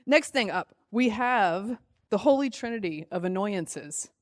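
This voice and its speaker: A sarcastic woman co-hosting a podcast. She tells stories quickly and with animation. Her voice sits in a middle register and is recorded dry and close. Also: medium pitch, animated, no room sound. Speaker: podcast co-host. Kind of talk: casual podcast chat.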